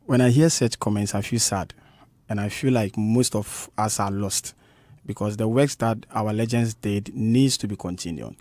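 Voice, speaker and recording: A man's voice: on the low side, moderate pace, darkish tone, dry recording. Passionate Ghanaian man, dry studio sound. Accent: Ghanaian English. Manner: passionate, emphatic, repeats points. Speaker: guest musician. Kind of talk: radio studio interview. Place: radio studio.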